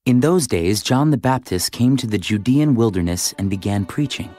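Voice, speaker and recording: A man's voice: lowish voice, lively intonation, normal speed, mellow. A man reading scripted narration for a dramatized audio Bible. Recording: faint music behind the voice. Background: music.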